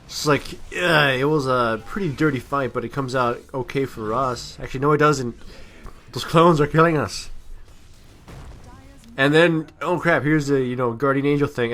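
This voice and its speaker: A man's voice: mid-range voice, moderate pace, a bit bright. Rambling man giving casual game commentary. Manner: rambling, self-deprecating. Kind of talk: casual amateur gameplay commentary.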